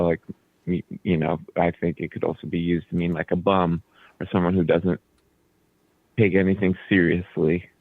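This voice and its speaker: Guy with a croatian first language, real low voice, sounds like a thin phone line, dry, just chatting loosely about his band. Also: no room sound. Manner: relaxed, explains at length with fillers. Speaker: band leader and studio guest. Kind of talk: casual radio interview.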